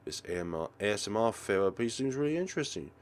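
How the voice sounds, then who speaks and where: A man's voice: lowish voice, very animated, fast. A man voicing a guarded, troubled teenage schoolgirl in a visual novel, hesitant and wary but hopeful. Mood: brooding, hopeful.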